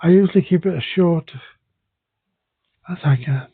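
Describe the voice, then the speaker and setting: A bilingual man who speaks English and Welsh talks haltingly, often restarting his sentences in a relaxed conversation. His voice is slightly high and husky. The recording sounds dry and narrow, like a phone line. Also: no room sound. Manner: hesitant, halting, many restarts. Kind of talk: casual podcast conversation.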